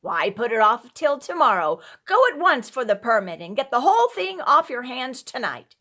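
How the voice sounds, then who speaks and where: A sharp-tongued, impatient wife from a classic storybook, played by a woman reader. She scolds and demands answers with open indignation. Her temper is short and her manner is cutting. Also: on the high side, sing-song, moderate pace. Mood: impatient, demanding. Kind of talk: storybook character voice.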